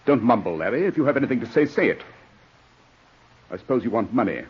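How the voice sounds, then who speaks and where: Dull old radio recording, cold commanding barrister, brusque, husky, swooping pitch. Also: male voice, very animated, fast. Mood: impatient. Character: stern, cold, imperious Edwardian English barrister, older brother. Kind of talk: 1940s radio drama acting.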